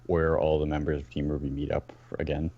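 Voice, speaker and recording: A man chatting casually as a fan, haltingly rephrasing his thoughts as he goes. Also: very deep voice, monotone, narrow, tinny audio. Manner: halting, rephrasing mid-sentence. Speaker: podcast guest.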